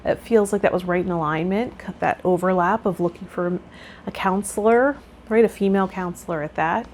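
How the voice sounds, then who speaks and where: Low-voiced woman tarot vlogger, noisy background. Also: fairly quick. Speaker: tarot vlogger and spiritual guide. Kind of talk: reading aloud and chatting to viewers of a spiritual vlog.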